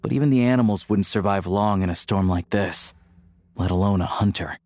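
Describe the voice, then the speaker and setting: A man's voice: deep voice, brisk, a little mellow, dry recording, close to an everyday voice. A man voicing an easygoing, friendly young hunter in a video game, with a casual, wry manner. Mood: resigned.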